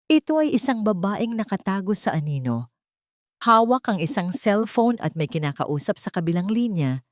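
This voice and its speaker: A woman narrating a scripted dramatic story in a measured, theatrical storytelling manner. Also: medium pitch, sing-song, no room sound, clean studio sound.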